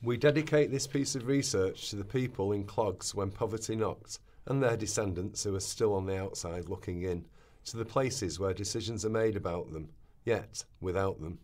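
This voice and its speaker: A man reads from a script in a fairly low voice. He sounds off-mic, as in a rehearsal recording. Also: moderate pace, hardly any pauses, darkish tone. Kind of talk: scripted reading.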